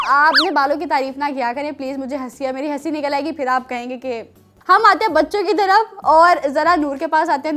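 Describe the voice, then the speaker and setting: A woman with a high voice hosts a children's programme. She teases playfully, prompts and echoes back what is said to her, with music playing behind. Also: fairly bright tone. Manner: teasing, prompting, repeating back. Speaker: children's show host.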